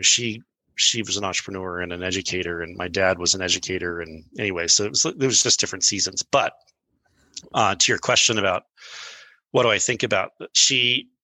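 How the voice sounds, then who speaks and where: A man's voice: sits low, sharp tone, dry recording, rough recording. A man speaking as a podcast guest in loose casual chat, full of fillers and restarts. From Brazil. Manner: rambling, many fillers and restarts.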